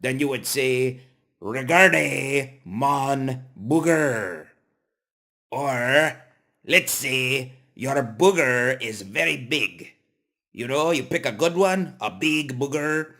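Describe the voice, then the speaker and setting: A man playing a goofy, self-styled French language teacher in a comic lesson, speaking with deliberate, drawn-out teacherly care. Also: medium pitch, bright, forceful tone. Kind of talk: comic instructional monologue.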